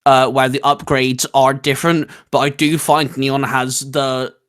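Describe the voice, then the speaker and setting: A man speaks as the host of a gaming video, in a casual commentary style. His pitch sits in an ordinary middle range for a man, and he talks conversationally. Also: little pitch movement, measured pace, fairly bright tone. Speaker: host of a video game ranking show.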